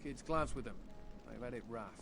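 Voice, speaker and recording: Casual vampire outcast, noisy backdrop. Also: male voice, mid-range voice, normal speed, creaky. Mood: friendly, confiding. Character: male thin-blood vampire, casual, protective of his misfit friends. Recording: distant game audio under loud ambient noise.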